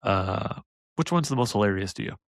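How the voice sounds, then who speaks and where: A man's voice: lowish voice, lively intonation, normal speed, dry recording, studio quality. A man co-hosting a podcast in casual chat, rambling and hesitant, laughing often. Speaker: podcast co-host.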